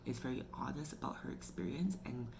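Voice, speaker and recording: A woman's voice: very deep voice, expressive, a little fast, vocal fry. A woman hosting her own book review channel, talking casually and chattily with lots of "like" and "you know". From Canada. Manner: chatty, filler-heavy with frequent "like" and "you know". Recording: noticeable background noise.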